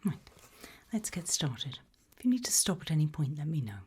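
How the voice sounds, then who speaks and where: A British woman speaking gently and reassuringly, mostly in a whisper, as an interviewer in an ASMR roleplay. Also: low-pitched, sing-song, normal speed, husky. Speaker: ASMR roleplay host playing an abduction investigator.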